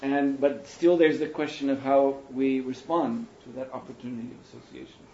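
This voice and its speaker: A man speaking as a spiritual teacher in a question and answer session, thoughtful and unhurried, pausing often. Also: mid-range voice, level tone, a little hiss or hum. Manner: thoughtful, unhurried, with pauses and soft laughs. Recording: roomy hall, muffled and lo-fi.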